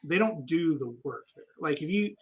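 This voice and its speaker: A man's voice: on the high side, animated, normal speed, husky. A man, an army veteran guest, telling stories candidly and reflectively in a casual interview, with frequent ums.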